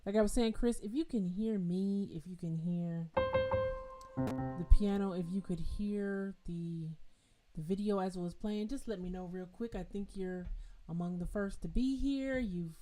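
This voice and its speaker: A woman's voice: mid-range voice, measured pace. A woman hosting a live-streamed piano lesson, chatting casually and directly with viewers, with loose fillers and restarts. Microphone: home streaming mic with adjustable level.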